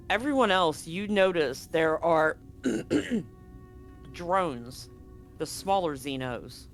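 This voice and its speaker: A man's voice: on the high side, a bit bright. Man narrating as game master. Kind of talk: narrating a tabletop horror game.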